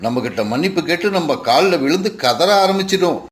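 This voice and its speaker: Man voicing a bossy cartoon crow, fairly high-pitched. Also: lively intonation, brisk, lightly acted. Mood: threatening. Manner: taunting, bossy. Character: bullying, scornful male crow in a children's cartoon.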